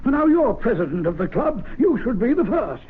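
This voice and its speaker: An actor playing an excitable, eager club member in a radio drama, stammering with a husky voice. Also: male voice, normal speed, slight background noise. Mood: excited, gleeful. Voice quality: very high, husky. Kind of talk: old-time radio drama acting. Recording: tinny old radio broadcast.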